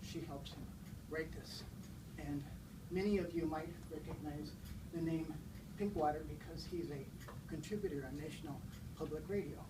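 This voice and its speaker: Distant, slow-talking man in his sixties. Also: on the high side. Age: 60s. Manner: halting, unhurried. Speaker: guest storybook reader. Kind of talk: informal live introduction and children's storybook read-aloud. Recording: distant mic, noisy room ambience.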